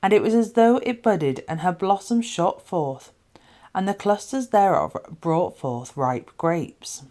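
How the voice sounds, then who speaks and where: Woman reading as animated chief butler of Pharaoh. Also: fairly low pitch, measured pace. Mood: eager. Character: Pharaoh's chief butler, eager male royal servant recounting events.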